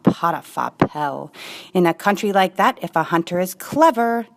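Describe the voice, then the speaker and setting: A woman's voice: lowish voice, gravelly. A woman on staff reading a rhyming storybook aloud.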